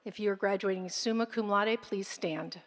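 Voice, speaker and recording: A woman's voice: medium pitch, normal speed, very noisy. Formal woman announcer at a podium mic, long hall echo. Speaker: school administrator announcing honors. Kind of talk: ceremony announcements. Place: large concert hall stage. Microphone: podium microphone. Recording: long hall reverb, faint crowd.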